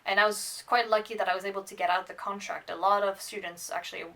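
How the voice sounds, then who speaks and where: A woman's voice: mid-range voice, fairly even intonation, fast, sharp tone. A woman in her twenties, bilingual in French and English, chatting casually to camera in a rambling, hesitant, self-deprecating way as a student vlogger. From France and Ireland. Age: 20s. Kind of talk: casual unscripted vlog chat to camera. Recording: slightly distant microphone.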